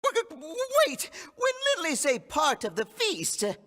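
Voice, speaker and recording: Squeaky, excitable little creature. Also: female voice, a little slow, exaggerated voice. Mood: panicked. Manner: third-person broken grammar, stammering, squeaky. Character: small excitable creature sidekick, childlike, easily panicked. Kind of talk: comic video game character acting. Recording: reverberant hall sound.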